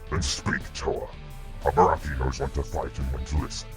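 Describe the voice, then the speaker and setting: An extremely low-voiced undersea warlord creature in an animated fan dub. He is cold, scornful and menacing, speaking formally over prominent music. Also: normal speed, gravelly.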